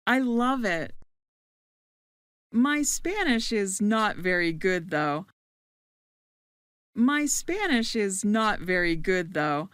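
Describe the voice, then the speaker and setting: A woman playing a friendly new student in a slow scripted dialogue for English learners, saying each line twice. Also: medium pitch, a bit bright, clear, resonant tone, dry recording, studio quality.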